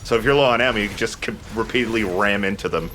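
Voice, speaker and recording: A man's voice: fairly low pitch, rapid-fire, bright, forceful tone, slight background noise. A man hosting a let's play, giving casual gameplay commentary.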